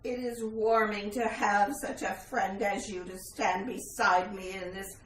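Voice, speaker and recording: Actress as creepy polite ghost lady, old radio thriller, very slow n formal, echoey. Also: mid-range voice, level tone, a bit bright, close to an everyday voice. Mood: eerily courteous. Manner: formal, deliberate. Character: ghostly accused murderess, archaic and eerily courteous. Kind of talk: retro radio thriller acting. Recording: echoey, distant, faint hiss.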